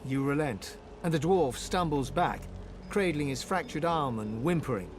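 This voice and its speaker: An actor narrating a dark fantasy video game in a solemn, vivid storytelling style. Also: male voice, fairly high pitch, expressive, normal speed, slight background noise, lightly acted. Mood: solemn, dramatic. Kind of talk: scripted second-person game narration.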